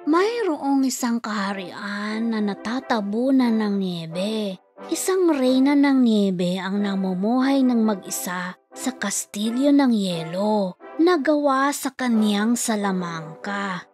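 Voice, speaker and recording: A woman voicing a grandmother who tells a fairy tale to children in a measured way. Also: mid-range voice, lively intonation, a little mellow, faint music behind. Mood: mysterious, measured. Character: storytelling grandmother of a little girl.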